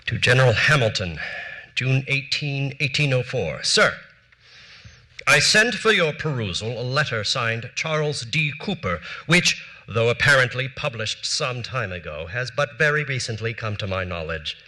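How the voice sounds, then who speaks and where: A man's voice: mid-range voice, very animated, normal speed, bright, forceful tone, full character voice. A stiff, formal old-time statesman. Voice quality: crisp formal diction. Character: formal, cold early American politician, man. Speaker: stage actor reading letters. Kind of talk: dramatic period letter reading. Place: large theater stage.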